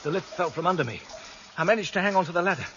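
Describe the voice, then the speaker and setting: Radio drama: sardonic boss on a perilous climb, animated, high male voice, halting, muffled noisy recording. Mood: urgent.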